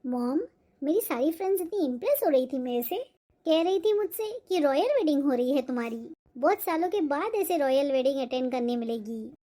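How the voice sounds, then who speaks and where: A woman's voice: high-pitched, lively intonation, a little fast, mellow. A woman voicing a spoilt, showy young rich bride in a Hindi cartoon moral story. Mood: showing off.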